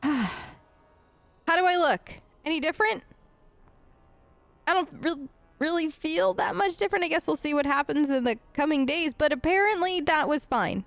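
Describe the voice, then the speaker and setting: A woman's voice: sits high, moderate pace, long pauses, fairly bright tone, no echo. A woman hosting a role-play game let's play, talking casually in character with a hesitant, playfully sarcastic, conversational manner.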